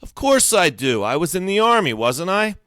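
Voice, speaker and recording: A man's voice: lowish voice, lively intonation, unhurried, no echo. A stern old storybook grandfather, read by a man, close and dry. He is stubborn and resolute, speaking firmly like a former soldier who has made up his mind. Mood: defensive. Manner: firm, quieter, resolute.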